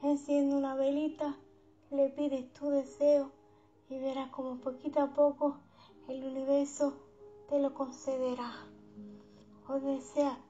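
High-voiced woman guiding a slow sleep meditation. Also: level tone, warm tone. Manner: soothing, unhurried, affectionate diminutives. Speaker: guided meditation host. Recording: dull lo-fi sound under background music.

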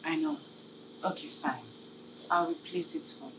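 A woman plays a patient young wife with a soft, pleading way of talking. Her voice sits low but moves a lot in pitch. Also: big pitch swings, moderate pace, vocal fry, slight background noise, full character voice, rough recording. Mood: apologetic. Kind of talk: web drama acting.

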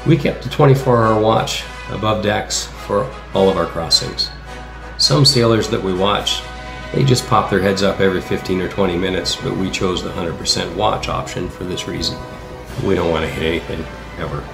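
Low-voiced man narrating in a roomy space. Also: steady tone, normal speed, vocal fry. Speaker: sailor narrating travel vlog. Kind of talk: scripted voice-over narration. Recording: roomy echo, music underneath. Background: background music.

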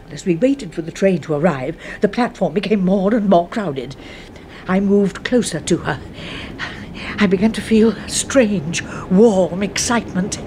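An actress with an English accent playing an obsessive, murderous secretary in a 1970s radio horror drama, intense and easily flustered. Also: female voice, medium pitch, animated, measured pace, background noise, natural voice. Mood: intense, confessional. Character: obsessive, murderous spinster secretary, timid before her boss.

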